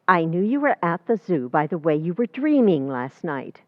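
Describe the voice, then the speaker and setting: Woman playing a swooping, low-voiced motherly landlady. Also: moderate pace, no room sound, phone-quality sound. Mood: fussing. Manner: expressive, fussing, theatrical delivery. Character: motherly, concerned, gossipy landlady. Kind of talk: old-time radio comedy acting.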